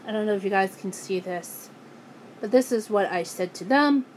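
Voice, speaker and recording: A woman ranting angrily in a vlog, hoarse and losing her voice, often breaking off and restarting her sentences. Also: medium pitch, normal speed, a little mellow, a little hiss or hum, rough recording. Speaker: YouTuber.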